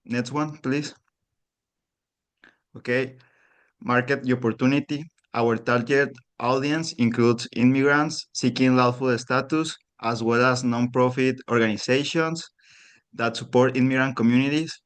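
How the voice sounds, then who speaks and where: A man from Mexico with a Mexican Spanish accent, giving an earnest prepared pitch over a remote call while unwell. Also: clean tone. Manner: steady, earnest delivery, pausing to ask for the next slide. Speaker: pitch contestant.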